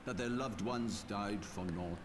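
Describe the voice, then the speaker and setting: A man's voice: lowish voice, unhurried, background noise, natural voice. An actor playing a proud, self-righteous old archbishop and father in a video game, speaking in solemn, grandiose oratory. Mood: impassioned. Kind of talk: dramatic video game voice acting.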